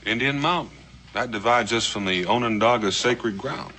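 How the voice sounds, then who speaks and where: A man's voice: mid-range voice, normal speed, bright, forceful tone, natural voice. An American man in middle age playing an easygoing, good-humoured frontiersman and father in a television western. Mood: amused, easygoing. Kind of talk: 1960s television western acting. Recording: noisy old television soundtrack.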